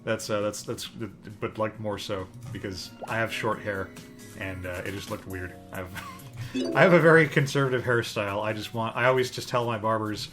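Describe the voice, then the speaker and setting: A man's voice: fairly low pitch, normal speed, music in the background. A young man with a Californian accent co-hosting a live stream, talking in a rambling, opinionated way with casual swearing. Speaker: streamer co-host.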